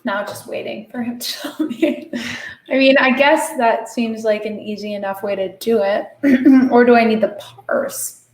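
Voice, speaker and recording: A woman hosting a live coding stream, slightly hoarse, talking herself through the code with lots of fillers. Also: a little high, a little slow. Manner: thinking aloud while coding, many fillers. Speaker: host. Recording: echoey room.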